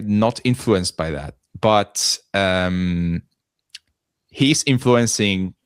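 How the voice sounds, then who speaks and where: A man's voice: low voice, clear, resonant tone, dry recording, clean studio sound. A man in his twenties from Poland, a band member speaking hesitantly in a casual interview and searching for words. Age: 20s.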